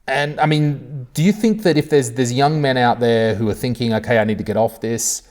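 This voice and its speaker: A man's voice: medium pitch, moderate pace, a little mellow. A man hosting a comedy podcast interview, chatting casually and rambling through stories with joking asides. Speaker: podcast host.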